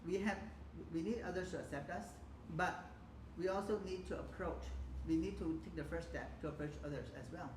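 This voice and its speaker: A man speaking as a panelist at a community forum, halting with many fillers and restarts. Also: high-pitched. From Hong Kong. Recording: echoey room with loud background noise.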